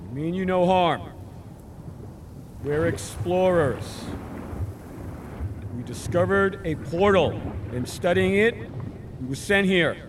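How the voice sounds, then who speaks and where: An actor playing an earnest, commanding leader of a scientific expedition in a sci-fi audio drama. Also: male voice, high voice, slow, long pauses, background noise. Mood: firm, diplomatic. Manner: earnest, authoritative.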